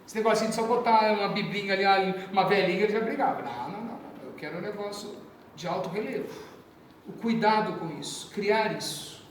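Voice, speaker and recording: A Brazilian priest with a São Paulo accent teaches catechists in an animated, chatty way, full of asides and jokes. He stands well back from the mic, and his voice rings through a very echoey parish hall. A faint hiss sits under it. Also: high-pitched, fast, far from the mic. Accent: Brazilian Portuguese, São Paulo. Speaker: priest giving catechist training.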